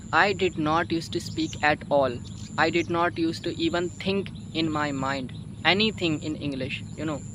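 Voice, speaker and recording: A Hindi-speaking man with an Indian accent giving informal English-learning advice in a halting, repetitive, emphatic way, often tagging phrases for agreement. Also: a little high, noisy background. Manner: halting, repetitive, emphatic, frequent "you know" and "okay" tags. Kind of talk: informal spoken advice to viewers.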